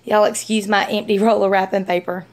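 A woman's voice: mid-range voice, flat delivery, fairly quick. A young adult woman with a Southern US accent, chatting casually as she vlogs on a phone at arm's length. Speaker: vlogger. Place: small home rooms and inside a car.

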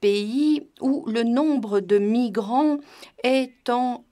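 A woman giving simultaneous interpretation of an online conference, pausing often with hesitant fillers as she searches for words. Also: fairly high pitch, dark tone. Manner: hesitant, frequent filler pauses.

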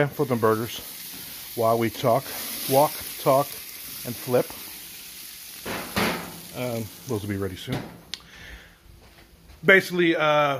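Man, forties, casual blunt rambling update, phone at arm's length, middle pitch. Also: fairly bright tone, a little hiss or hum. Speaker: author vlogger. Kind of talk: casual walk-and-talk update. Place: home, indoors and backyard. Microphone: phone held at arm's length.

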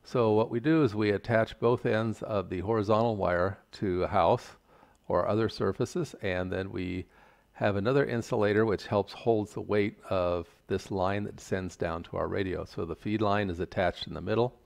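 An older man giving a measured, plain-spoken, step-by-step tutorial explanation. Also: fairly low pitch, steady tone, gravelly. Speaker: instructor. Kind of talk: explanatory tutorial narration over slides.

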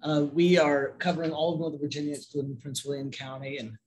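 A man introducing his home care company at a networking meeting, brisk and promotional. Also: a little high, little pitch movement, a little mellow, slight background noise, low-quality recording.

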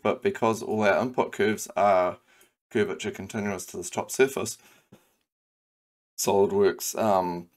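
A man with a New Zealand accent talking through a software modelling tutorial, thinking aloud with frequent ums and restarts. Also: on the low side. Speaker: CAD design tutor. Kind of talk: screen-recorded software tutorial.

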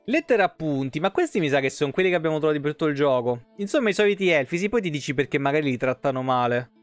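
A man's voice: a little high, lively intonation, very fast, no room sound. A man, reading game lore aloud and breaking off for informal asides as a live streamer. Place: indoor home streaming setup. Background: soft plucked guitar game music.

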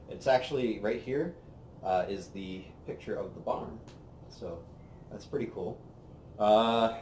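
Young guy with a lowish voice rambling about bourbon outside, echoey, faint background hum. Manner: relaxed, rambling, lots of fillers. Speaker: whiskey review host. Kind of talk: casual tasting chat. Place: outdoor patio by a brick wall. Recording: roomy outdoor sound with faint ambience.

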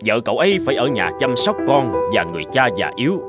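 A man voicing a stern, middle-aged company director in a cartoon, speaking in a formal, deliberate way. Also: medium pitch, very animated, loud music behind the voice, close to an everyday voice. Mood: serious. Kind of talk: cartoon dubbing.

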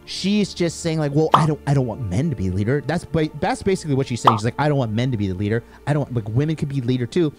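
A man in a played clip, talking in halting, restarting phrases. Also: mid-range voice, expressive, music in the background.

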